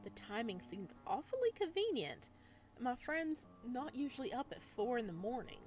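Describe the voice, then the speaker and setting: A woman's voice: a little high, big pitch swings, normal speed, faint music behind. A woman doing a visual novel let's play, reading text aloud and breaking off for chatty, casual asides. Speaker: gaming video host.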